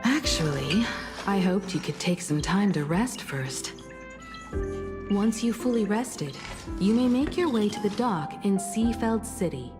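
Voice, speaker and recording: A woman voicing a composed, gentle, dutiful noblewoman in a video game, formal and measured, over music. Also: mid-range voice, animated, lightly acted. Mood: calm, earnest.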